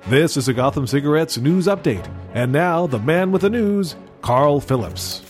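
Man as a lively old-time network announcer. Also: on the high side, normal speed, faint music behind, lightly acted. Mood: formal.

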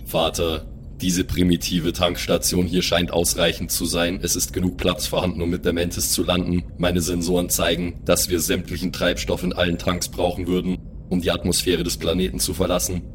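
A man's voice: low-pitched, monotone, normal speed, slight background noise, close to an everyday voice. A man playing a haughty, cold alien in an improvised audio play, speaking in a formal, condescending and clipped way. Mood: commanding. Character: haughty, cold alien son scorning lesser lifeforms.

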